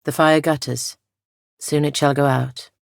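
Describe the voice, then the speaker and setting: A woman playing a solemn, ancient female spirit guardian in a fantasy game, speaking softly and formally with measured gravity. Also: low voice, flat delivery, no room sound, clean studio sound. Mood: grave, foreboding. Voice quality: soft, gravelly. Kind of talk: scripted fantasy game dialogue.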